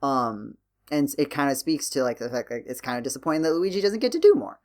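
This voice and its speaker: A man's voice: medium pitch, sing-song, fast talker, darkish tone. Rambling, analytical man chatting on a podcast. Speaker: podcast host. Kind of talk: casual podcast discussion.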